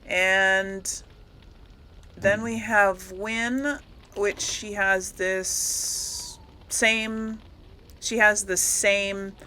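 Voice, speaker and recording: A woman's voice: mid-range voice, steady tone, drawn-out words, long pauses, sharp tone, a little hiss or hum. A woman hosting a gaming video, giving casual, rambling commentary as she thinks aloud and corrects herself.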